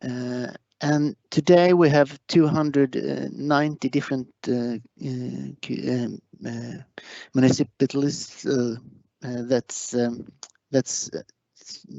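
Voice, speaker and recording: A man with a Swedish accent giving a technical online presentation, halting and hesitant, often repeating words as he goes. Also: mid-range voice, a little mellow, no room sound. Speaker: conference presenter. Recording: muffled online webinar audio.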